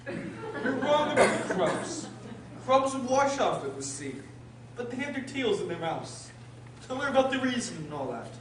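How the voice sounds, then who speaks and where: Guy doing a sad old mock turtle, really high voice, theatrical radio play, super echoey and far off. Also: sing-song, moderate pace. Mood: earnest, hesitant. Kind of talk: stage-style radio drama acting. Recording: very echoey room, distant mic, hissy noise.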